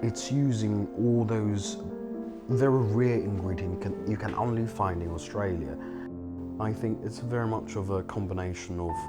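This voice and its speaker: A man's voice: lowish voice, moderate pace, over loud music. A young man from China, a filmmaker and home cook, speaking in a documentary interview, reflective and hesitant with many restarts and fillers.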